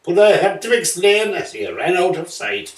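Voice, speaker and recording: Echoey, expressive man reciting verse. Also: high-pitched. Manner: lilting, theatrical, measured storytelling. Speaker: poetry reader. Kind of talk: dramatic storybook reading of rhyming comic verse. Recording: roomy echo, distant from mic.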